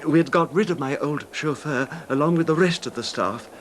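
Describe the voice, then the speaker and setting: An actor playing an older wealthy English husband, haltingly recounting his betrayal in an anguished, formal manner in a radio drama. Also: a little high, close to an everyday voice. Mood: wistful, halting. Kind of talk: 1970s radio drama acting, narrating a confession. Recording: noisy 1970s radio drama recording.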